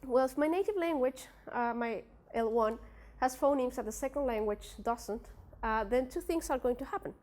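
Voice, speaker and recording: A young woman whose first language is Spanish presents her research at an academic workshop. Her voice sits a little high, and she speaks English with a Spanish accent. She hesitates often, filling gaps with "uh" and "like" and restarting her sentences. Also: normal speed, few pauses, darkish tone. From Spanish-speaking country. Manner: hesitant, many fillers and restarts.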